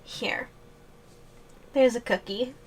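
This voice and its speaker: Woman acting a slow, teasing giantess girlfriend, higher-voiced. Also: fairly even intonation, husky. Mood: playful teasing. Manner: slow, playful, teasing delivery with hums and sighs. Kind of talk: ASMR audio roleplay acting.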